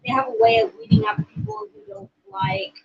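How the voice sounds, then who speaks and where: A woman's voice: very high voice, sing-song, a little fast, vocal fry, dull audio, a crowd in the background. A woman from Oklahoma co-hosting a casual livestream, rambling and reading viewer comments aloud. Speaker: livestream co-host.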